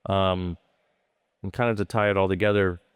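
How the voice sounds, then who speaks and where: A man's voice: low voice, steady tone, normal speed, clear, resonant tone, dry recording, clean studio sound. A man moderating a conference panel, asking loose, rambling questions.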